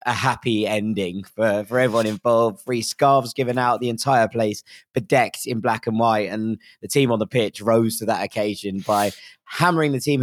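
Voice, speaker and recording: A hoarse man hosting a casual football podcast, chatty and enthusiastic with frequent ums. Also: mid-range voice. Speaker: podcast host.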